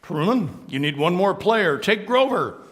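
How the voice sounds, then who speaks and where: A man's voice: sits high, animated, a little slow, fairly bright tone, pitched above the performer's own voice. A man voicing a school teacher giving firm instructions in a storybook read-aloud. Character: brisk school teacher, in charge.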